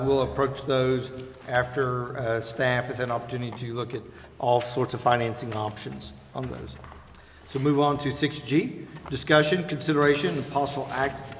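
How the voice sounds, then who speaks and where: An American man formally reading out agenda items as chair of a public meeting in a council chamber. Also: mid-range voice. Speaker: meeting chair, presiding official. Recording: echoey council chamber, clear background noise.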